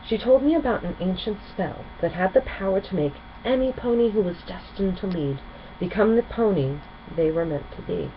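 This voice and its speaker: A woman voicing a gentle, reassuring pony princess who mentors others in a storybook. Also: mid-range voice, animated, normal speed, muffled recording, slight background noise, natural voice. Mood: gentle, instructive.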